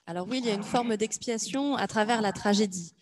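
A woman interpreting aloud in a measured way, pausing between phrases as she renders them. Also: mid-range voice, slight background noise. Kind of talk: consecutive interpreting, unscripted.

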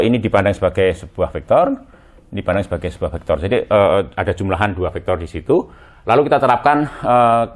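A man in his sixties with an Indonesian accent, lecturing at a chalkboard in a halting way, with many hesitations and check words. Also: sits low. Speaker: university physics lecturer. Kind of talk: chalkboard lecture, explaining as he writes. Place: indoor room in front of chalkboards.

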